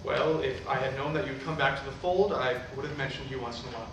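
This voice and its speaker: A man's voice: mid-range voice, quick pace, lightly acted. A man playing a pompous, judgmental middle-aged preacher in a staged play reading, disdainful and self-important. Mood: guarded. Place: staged reading filmed in a roomy venue. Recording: echoey room, far mic, faint noise.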